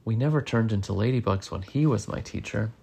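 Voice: medium pitch, fairly quick, creaky, darkish tone, husky. A storybook reader voicing a nervous, easily alarmed schoolgirl with a worried manner. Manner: worried, gasping.

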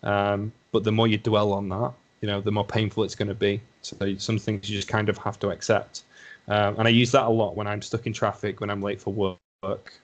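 Young Lancashire man, low-pitched, chatty podcast guest who rambles and reflects aloud. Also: flat delivery, brisk. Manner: rambling, self-reflective, hedges with "you know". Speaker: podcast guest, assistant psychologist. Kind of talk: casual conversation.

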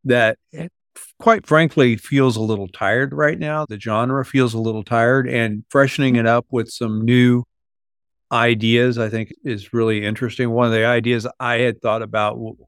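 Male podcast host, mid-pitched, thinking aloud in casual talk, very dry recording. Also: steady tone, moderate pace, smooth, no echo. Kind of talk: casual conversation.

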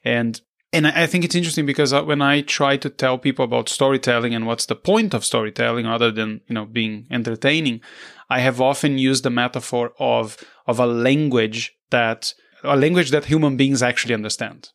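A podcast host whose native tongue is Portuguese, a man of middling pitch talking things through in an easy back-and-forth. He often doubles back and restarts his sentences as he shapes a question. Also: steady tone, vocal fry, fairly bright tone. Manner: thoughtful, halting, with frequent restarts. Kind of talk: conversational interview.

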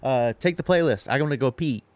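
A man's voice: medium pitch, fairly quick, a little mellow, no echo. A man co-hosting a podcast, chiming in with short, upbeat lines in casual chat. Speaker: podcast co-host.

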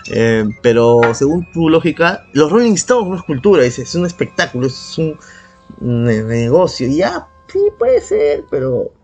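A man's voice: on the high side, wildly swooping pitch, unhurried, darkish tone, highs cut off. A man with a Peruvian Spanish accent chatting casually as a podcast co-host, opinionated, slangy and heavily profane. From Peru. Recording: music playing behind the voice. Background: background music.

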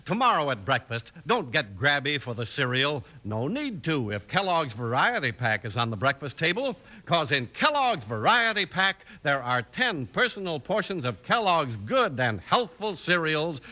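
A man's voice: fairly high pitch, sing-song, unhurried, few pauses, sharp tone. A man with an American accent announcing on 1950s radio, reading scripted sponsor copy in a folksy, emphatic way. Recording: old radio broadcast with music. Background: music.

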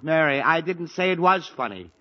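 A man's voice: fairly high pitch, expressive, normal speed, natural voice. An actor playing a grumpy, sarcastic middle-aged father nursing a headache in a 1940s radio comedy. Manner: sarcastic, pained groaning. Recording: dull old radio broadcast with faint hiss.

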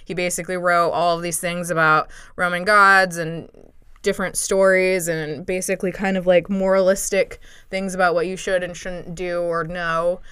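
A young woman with a lowish, smooth, resonant voice talks through a topic in relaxed podcast chat. She strings her thoughts together with plenty of "like" and laughs easily. The sound is close and dry. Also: normal speed, no echo. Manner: chatty, lots of "like" fillers, laughs often. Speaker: podcast co-host presenting a topic. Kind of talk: casual chat.

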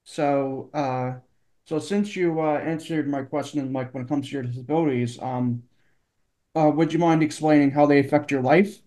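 A man with a slightly high voice hosts an interview podcast. He talks casually and haltingly, often searching for the next phrase. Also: steady tone, dark tone. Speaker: podcast host.

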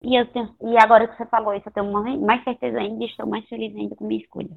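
Over a tinny, phone-like line, a woman with a Northeastern Brazilian accent co-hosts a casual book podcast. Her voice is hoarse and gravelly, and she talks in a blunt, slangy, sweary way. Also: fairly high pitch, little pitch movement, racing. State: hoarse from two days of partying. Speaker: podcast co-host. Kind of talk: casual chat about books.

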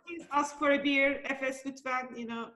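A woman's voice: high voice, steady tone, normal speed, sharp tone. A woman whose first language is Turkish, chatting as a guest, good-humoured and asking direct questions. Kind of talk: casual chat.

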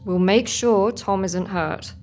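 An actress playing a guarded, steady rebel guard with a measured, terse delivery in a sci-fi audio drama. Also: female voice, mid-range voice, soft background music, close to an everyday voice. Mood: tense, decisive.

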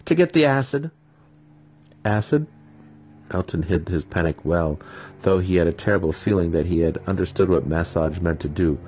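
A man's voice: sits low, steady tone, a little fast, warm tone, a little hiss or hum. A man giving a dramatic storybook narration as the host of a reading series.

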